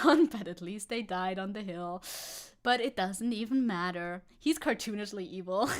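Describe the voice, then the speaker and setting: A young woman VTuber streamer chatting casually and sarcastically, reading news and chat aloud, swearing and laughing. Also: fairly high pitch.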